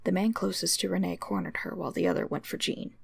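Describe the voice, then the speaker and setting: Very creaky, husky woman reading a story. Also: fairly low pitch, steady tone, fast, no echo. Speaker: story reader. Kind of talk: dramatic scripted narration of fan fiction.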